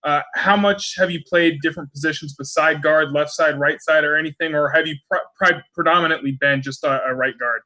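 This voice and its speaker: A man working as a sports reporter asks questions on a conference call, informal and a little halting. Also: a little high, fairly even intonation, pushed and bright.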